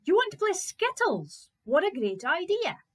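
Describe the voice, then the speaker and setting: A woman voicing a cheerful, unflappable young man from a folk tale, polite and matter-of-fact, gently bossy. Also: high voice, very animated, measured pace, voice pushed up, full character voice. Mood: cheerful, chatty.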